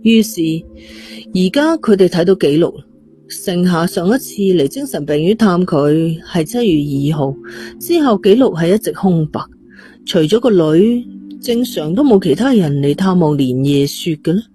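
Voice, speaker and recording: Dark-toned story narrator, bright Cantonese woman. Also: on the low side, lively intonation, normal speed, soft background music. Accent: Hong Kong Cantonese. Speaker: audio novel narrator. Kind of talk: scripted horror-mystery story narration.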